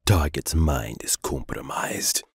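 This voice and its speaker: A man's voice: extremely low pitch, takes it slowly, a crowd in the background. A man voicing a cold, sinister techno villain in a video game. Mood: cold menace. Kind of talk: video game character lines.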